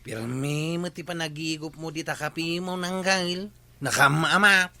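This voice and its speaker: Man playing a high-voiced, complaining young nephew. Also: measured pace, heavy background noise. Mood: teasing, grumbling. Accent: northern Philippine. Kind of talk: comedy audio drama acting.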